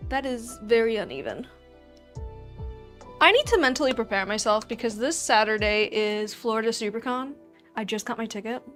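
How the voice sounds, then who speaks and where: A young woman with a fairly high voice hosts a relaxed livestream and chats straight to her viewers. She teases herself and laughs a lot between thoughts. Soft music plays faintly behind her. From Argentina. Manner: chatty, self-teasing, laughs often. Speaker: livestream host. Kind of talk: casual livestream chat with viewers.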